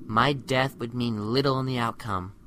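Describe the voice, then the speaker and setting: A man acting in a radio play as a calm, remorseless serial killer who justifies himself in a measured, philosophical way. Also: mid-range voice, lightly acted. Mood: zealous, defiant. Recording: loud music under the voice.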